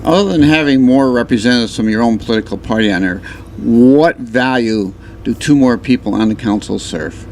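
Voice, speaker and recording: A man's voice: mid-range voice, a little fast, a little mellow, slight background noise. A man on a radio talk panel, asking pointed questions and cracking puns. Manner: pointed questions, punning jokes.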